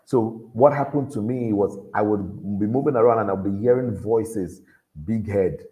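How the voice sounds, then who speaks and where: A man with a deep voice, giving an earnest motivational talk to young people and repeating his key lines for emphasis. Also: normal speed, dark tone, low-quality recording. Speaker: motivational speaker at a youth event.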